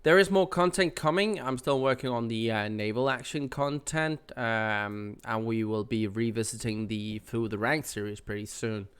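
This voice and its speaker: A man's voice: medium pitch, normal speed, hardly any pauses, a bit bright, clear, resonant tone. Nasal man with a head cold. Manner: rambling, with many fillers and restarts. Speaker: gaming channel host. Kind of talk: casual channel update.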